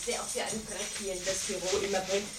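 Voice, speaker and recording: A woman's voice: mid-range voice, level tone, fast, over loud music, close to an everyday voice. An actress playing a put-upon, cheeky office cleaning woman in 1950s German radio comedy style, grumbling and answering back drily. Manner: dry, plain-spoken, back-talking. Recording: roomy, distant, noisy 1950s radio recording.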